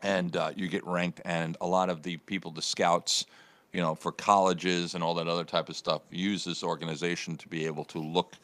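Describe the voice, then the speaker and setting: Guy with a really deep voice, chatty morning radio host, lots of restarts and "you know"s. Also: little pitch movement, moderate pace, a bit bright. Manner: rambling storytelling with many restarts. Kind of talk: casual morning show chat.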